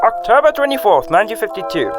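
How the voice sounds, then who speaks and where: An actor playing an excitable 1950s radio serial announcer with a showy, dramatic delivery. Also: male voice, high-pitched, fast, loud music behind the voice. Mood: suspenseful.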